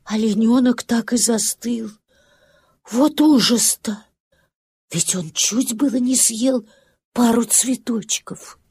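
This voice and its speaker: A woman storyteller narrating a scripted children's nature tale in an expressive, dramatic way. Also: on the high side, takes it slowly, breathy edge. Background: music.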